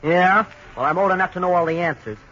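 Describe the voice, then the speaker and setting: A man's voice: high voice, fast, pushed and bright. An actor playing a cocky young tourist in a 1940s radio drama. He wisecracks in slangy, brash talk. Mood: swaggering. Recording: lo-fi 1940s radio broadcast with faint hiss.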